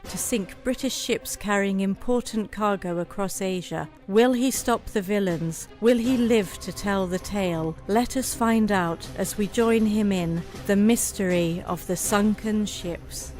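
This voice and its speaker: A woman delivering a dramatic radio-drama introduction in a steady middle register. Faint music sits underneath her voice. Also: medium pitch, measured pace, few pauses. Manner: dramatic, teasing questions. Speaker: narrator. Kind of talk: scripted dramatic narration.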